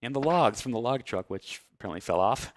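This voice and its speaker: A man's voice: mid-range voice, animated, a little fast. A man giving casual live commentary as a speedrunner on a video game, with amused, wry asides. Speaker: speedrunner commentating on own play. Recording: loud game music behind the voice. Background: loud video game music.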